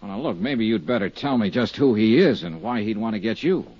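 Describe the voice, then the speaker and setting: A man's voice: fairly low pitch, lively intonation, a little fast, a little mellow, husky, no echo. An actor playing a wry, hard-boiled male insurance investigator who narrates and speaks in dry, easygoing tones, in 1950s radio drama style. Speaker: narrator and lead. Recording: muffled 1950s radio broadcast.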